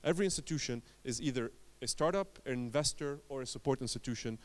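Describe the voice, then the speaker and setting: Guy from lebanon doing a startup conference talk, storytelling, punchy phrases w/ dramatic pauses. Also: medium pitch, expressive. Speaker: conference speaker, entrepreneur.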